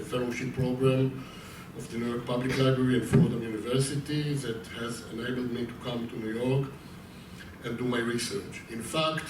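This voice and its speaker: A man with a heavy Israeli accent gives a lecture, pausing often with fillers and starting phrases over. His voice sits at a middling pitch and carries from a distance across an echoey hall with steady background noise. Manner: halting, with frequent fillers and restarts. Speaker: visiting lecturer. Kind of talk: academic lecture. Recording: echoey hall from far off, noisy.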